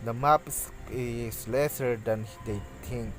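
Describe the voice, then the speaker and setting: A man giving practice play-by-play commentary on an esports match, halting with frequent restarts and bursts of excitement on big plays. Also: mid-range voice, soft background music. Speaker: esports caster.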